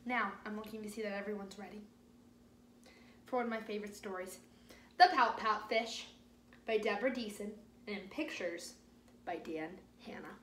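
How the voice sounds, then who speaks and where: Bright, excited young woman hosting children's story time. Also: mid-range voice. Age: young adult. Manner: excited, expressive, simple phrasing with dramatic pauses. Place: indoor living space at home. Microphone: phone or camera a few feet away. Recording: roomy home recording with faint noise.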